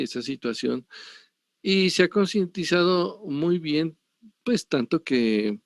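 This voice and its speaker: Gravelly Colombian man lecturing. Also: medium pitch, dry recording. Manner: digressive, frequent tag questions. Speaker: university lecturer. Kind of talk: online pathology lecture.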